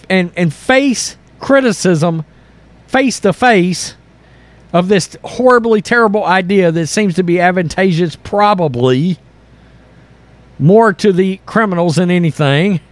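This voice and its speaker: A man's voice: sits high, dry recording. A man hosting an online commentary channel, reading and reacting to news in a blunt, sarcastic, exasperated way. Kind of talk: opinionated political commentary mixed with reading news aloud.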